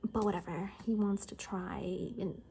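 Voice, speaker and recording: A woman playing a chatty, gossipy friend in an apocalypse ASMR roleplay, soft-spoken and breathy, often slipping into whispers, with casual rambling speech. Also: medium pitch, fairly even intonation, moderate pace. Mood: conspiratorial, gossipy. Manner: rambling, casual, gossipy, full of filler words.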